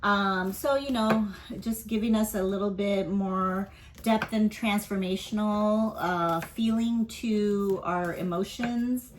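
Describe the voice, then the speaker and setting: A woman's voice: mid-range voice, fairly even intonation, slow, background noise. A woman giving a casual weekly tarot and astrology reading. She speaks candidly, rambles, leans on fillers and swears offhandedly.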